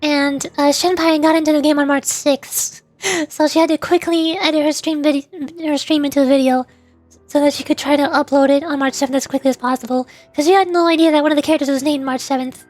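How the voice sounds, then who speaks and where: A woman's voice: high-pitched, steady tone, fairly quick, a little mellow, no room sound, faint music behind. A woman streamer chatting casually and rambling about games while answering viewers' questions. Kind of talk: casual livestream chat.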